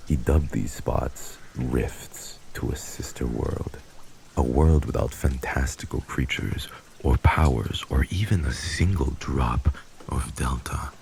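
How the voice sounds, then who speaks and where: Extremely low male voice, dark and creaky, cold vampire boss, pompous archaic commands, menacing, fantasy audio drama, some background noise. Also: slow, full character voice, rough recording. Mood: grave, instructive. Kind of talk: scripted fantasy audio roleplay.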